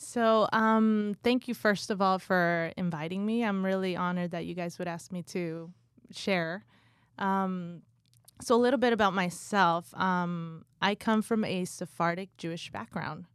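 A woman in her thirties from Guatemala, raised in California, talking as a guest in a casual interview, soft and hesitant with many pauses. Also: fairly low pitch, clear, resonant tone, dry recording, studio quality.

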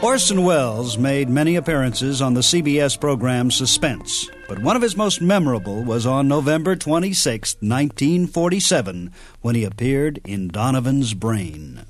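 A man's voice: medium pitch, animated, normal speed, faint music behind. A man hosting a radio program, announcing from a script in a polished, formal way.

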